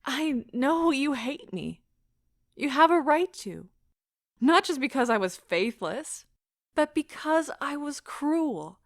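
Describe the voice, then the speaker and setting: A woman playing an anguished, proud wife in an audio drama, speaking with deliberate emotional intensity. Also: sits high, very animated, dry recording, studio quality, heavily acted. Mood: confessing, intense. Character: anguished, proud wife pleading as her marriage ends.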